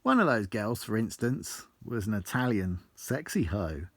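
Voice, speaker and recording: A man's voice: on the low side, big pitch swings, measured pace, fairly bright tone, dry recording. A man reciting his own rhyming life story in a theatrical, blunt, sweary storytelling manner. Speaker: author reading own book. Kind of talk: recited rhyming autobiographical verse.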